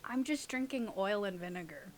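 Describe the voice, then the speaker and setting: A woman's voice: medium pitch, lively intonation, moderate pace. A young adult woman co-hosting in a casual, giggly, teasing way, chatting and reading aloud. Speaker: podcast co-host and audio roleplay creator.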